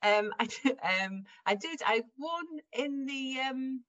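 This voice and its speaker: A woman's voice: high-pitched, expressive, slow, a bit bright, muffled recording. A woman from Wales chatting casually in an interview, laughing often and restarting her sentences as she talks. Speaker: guest improviser.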